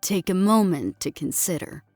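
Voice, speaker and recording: A woman's voice: on the low side, lively intonation, moderate pace, darkish tone, no room sound, soft background music. A woman voicing a proud, assuring female senior drill sergeant in an audiobook, addressing recruits in a formal military way. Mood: proud, earnest. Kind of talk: dramatic audiobook character acting.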